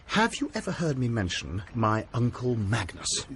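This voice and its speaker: A young actor playing a jovial, pushy student in a radio drama, talking in a breezy way. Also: male voice, mid-range voice, huge pitch swings, normal speed. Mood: wheedling. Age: young adult. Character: hearty, sporty young English student.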